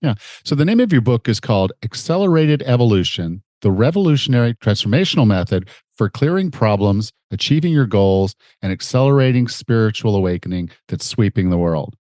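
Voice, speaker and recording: A man's voice: fairly low pitch, expressive, fairly quick, few pauses, darkish tone, no room sound, studio quality. A man over forty hosting a fitness podcast, sounding a little nasal from a head cold, talking in an earnest, conversational way with fillers. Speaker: podcast host and fitness coach. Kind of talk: casual interview chat and scripted promo.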